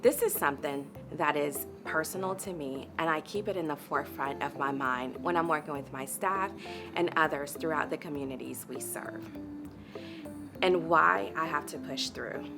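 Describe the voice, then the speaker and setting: A woman executive delivering a prepared motivational statement as an honoree, with earnest, deliberate phrasing. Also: low voice, creaky. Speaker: honoree, early learning executive. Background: music.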